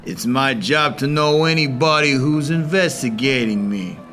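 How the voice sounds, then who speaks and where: A man's voice: mid-range voice, a little slow, a bit bright, soft background music, lightly acted. A young man acting as a menacing, smug Italian mob boss in an amateur radio drama, with an ominous, taunting delivery.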